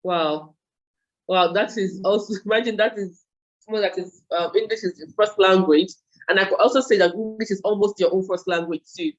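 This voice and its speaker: A woman's voice: mid-range voice, moderate pace. A woman hosting an interview, reacting and laughing easily in casual chat. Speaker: host. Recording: dull lo-fi audio.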